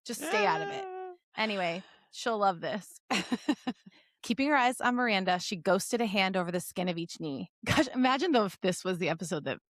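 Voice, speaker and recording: A woman's voice: mid-range voice, very animated, a little fast, dry recording, studio quality. A woman podcast co-host reading fan fiction aloud in a giggly, joking way with casual asides.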